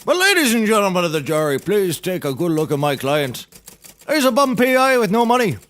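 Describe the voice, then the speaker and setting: A man's voice: sits high, big pitch swings, a little fast, a bit bright. An actor playing a pompous, blustering nautical handyman turned defense lawyer, orating theatrically in a scripted courtroom comedy.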